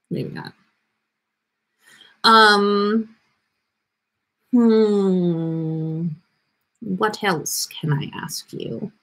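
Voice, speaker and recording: Woman, livestream host, slow-paced, swooping expressive pitch, playful rambling chat with viewers. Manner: playful, rambling, thinking aloud. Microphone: webcam livestream setup.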